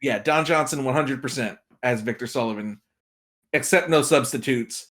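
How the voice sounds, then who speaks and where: A man's voice: medium pitch, moderate pace, a bit bright. A man talking casually as a podcast guest, giving film opinions and acting out imagined reactions as he tells it. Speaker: podcast guest, movie critic.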